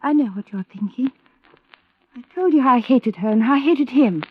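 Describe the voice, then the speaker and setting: High, emotional, defensive girl. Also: animated, normal speed, breathy edge. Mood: pleading, indignant. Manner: emotional, pleading, insistent. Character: bitter, defensive, desperate girl accused of lying. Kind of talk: 1950s radio drama acting. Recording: muffled, dry old radio recording.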